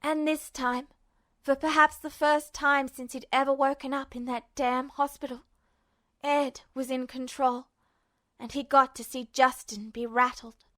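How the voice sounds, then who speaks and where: Female audio-fiction narrator, high-pitched and bright, dramatic scripted delivery. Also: fairly even intonation, measured pace. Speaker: podfic narrator.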